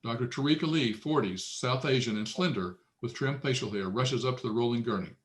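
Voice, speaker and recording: A man reads screenplay directions as narrator at a table read. His voice sits at an average pitch, delivering scripted scene descriptions. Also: a little fast.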